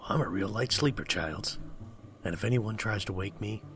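An actor playing a grim, suspicious leader of an isolated camp in a horror film, speaking in terse, menacing statements. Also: male voice, fairly low pitch, moderate pace, a bit bright, slight background noise, close to an everyday voice. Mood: wary menace.

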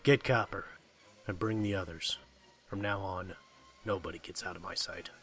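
An actor playing a grim, suspicious leader of an isolated camp in a horror film, speaking in terse, menacing statements. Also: male voice, sits low, steady tone, normal speed, a bit bright, faint music behind. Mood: threatening.

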